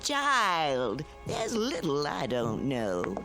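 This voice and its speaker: A woman's voice: low voice, huge pitch swings, extremely slow, fairly bright tone, faint music behind, full character voice. An actress playing a sly, mocking old backwoods witch with a Southern US accent and a taunting drawl in a radio drama. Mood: sly, knowing.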